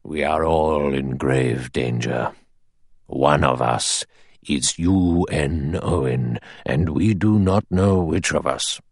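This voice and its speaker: A man voicing an elderly male judge who speaks in a measured, precise, quietly authoritative way. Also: extremely low pitch, slightly rough, no room sound, clean studio sound, exaggerated voice. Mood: grave, commanding. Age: older.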